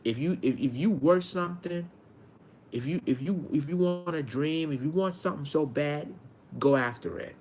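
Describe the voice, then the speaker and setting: A man in his forties from Arkansas with a high-pitched voice, talking earnestly to his viewers in a casual monologue, often repeating and restarting his phrases. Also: moderate pace, darkish tone, a little hiss or hum. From Arkansas, United States. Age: 40s. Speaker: vlogger.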